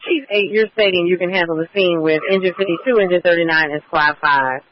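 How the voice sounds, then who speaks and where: High-voiced male fire dispatcher on radio. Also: fairly quick, a little hiss or hum. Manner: repeats back each unit's message in formal radio phrasing. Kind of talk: clipped radio dispatch procedure. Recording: muffled radio transmission.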